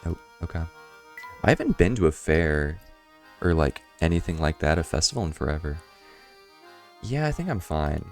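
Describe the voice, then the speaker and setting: A man streaming a puzzle game, his voice a bit hoarse, rambling through casual commentary with chuckling asides. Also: very deep voice, expressive, moderate pace, pauses often, faint music behind.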